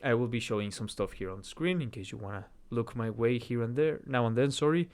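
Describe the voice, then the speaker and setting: A man's voice: medium pitch, fairly quick, a little mellow. A man with a Mexican accent hosting a conversational video essay, talking in an earnest, reflective way with self-deprecating humor and stopping now and then to correct his own wording. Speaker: channel host.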